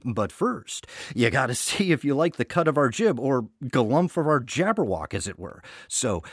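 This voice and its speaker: Man with lively, swooping intonation. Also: medium pitch, moderate pace, a little hiss or hum. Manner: wry, playful asides. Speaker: artistic director and podcast host. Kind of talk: scripted podcast welcome, chatty narration.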